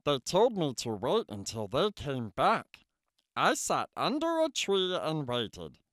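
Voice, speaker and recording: A man's voice: on the high side, huge pitch swings, takes it slowly, fairly bright tone, no room sound, studio quality, exaggerated voice. A man voicing Frog, a cheerful, patient grown male frog, reading a storybook aloud. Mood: storytelling. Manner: encouraging, storytelling.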